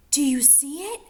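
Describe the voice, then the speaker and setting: A woman reading aloud to young children as a teacher, expressively, pausing to explain and ask them questions. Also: very high-pitched.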